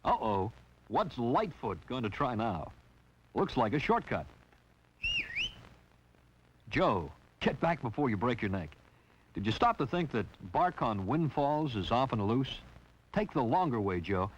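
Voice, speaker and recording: A man's voice: mid-range voice, big pitch swings, a little slow, pauses often, breathy edge. Wry male narrator on an old film reel. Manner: wry, teasing. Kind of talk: scripted humorous narration. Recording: dull lo-fi 1960s film soundtrack.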